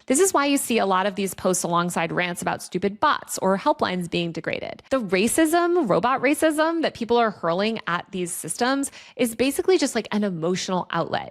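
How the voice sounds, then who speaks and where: A woman giving opinionated, conversational commentary in an emphatic way, as the host of a video essay. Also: mid-range voice.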